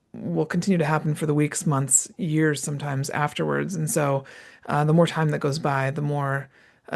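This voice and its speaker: A woman host delivering a solo podcast monologue, her voice heavily gravelly with strong fry. She talks conversationally and reflectively, tossing in tag questions and fillers. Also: low-pitched. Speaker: podcast host and retreat organizer.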